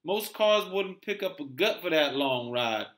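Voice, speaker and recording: A man's voice: a little high, animated, fairly bright tone. A man voicing a chatty, folksy gas station attendant who talks colloquially and sounds incredulous, in a dramatic read-aloud. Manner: colloquial, drawn-out country talk. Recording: roomy echo, clear background noise.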